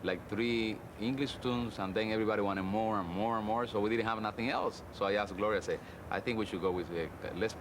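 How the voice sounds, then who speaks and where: A man's voice: fairly low pitch, fairly quick, few pauses. Man, street noise behind. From Cuba. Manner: loose, casual, plenty of fillers. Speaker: interviewee, musician. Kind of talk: interview storytelling.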